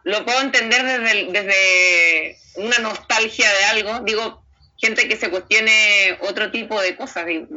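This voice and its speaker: A woman's voice: mid-range voice, sharp tone. A woman giving critical opinions conversationally in a group interview, feeling her way through her phrasing. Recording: muffled lo-fi audio.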